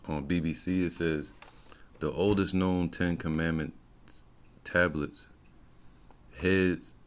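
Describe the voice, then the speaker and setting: An American man giving informal religious teaching and commentary as he reads, in halting short phrases. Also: extremely low pitch, smooth. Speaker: religious camp teacher and presenter.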